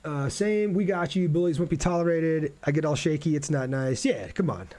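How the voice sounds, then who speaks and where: A man's voice: on the high side, animated, moderate pace, mellow. A man hosting a casual gaming livestream, thinking aloud and chatting with viewers as he plays. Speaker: livestream gamer, host. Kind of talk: casual livestream gameplay commentary.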